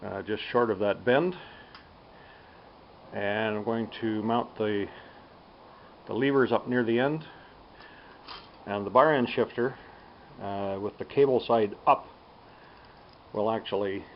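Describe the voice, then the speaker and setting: A man giving a casual, unscripted workshop demonstration, thinking aloud in a halting, unhurried way. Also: fairly low pitch. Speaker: DIY bicycle tinkerer presenting a build. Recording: dull lo-fi sound with background noise.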